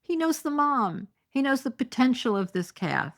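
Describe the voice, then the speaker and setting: A woman's voice: high-pitched, big pitch swings, normal speed. A middle-aged woman hosting a solo podcast, reading news articles and adding sarcastic, indignant asides. She talks with many fillers and is losing her voice. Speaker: podcast host.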